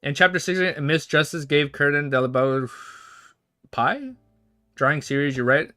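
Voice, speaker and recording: Young male streamer in his twenties: bright voice, dry close-mic sound, loose rambling chat full of swearing. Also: on the high side, expressive, no echo, faint music behind. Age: 20s. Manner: rambling, swearing, quick to laugh. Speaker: livestream host. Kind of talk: casual livestream chat and reading aloud.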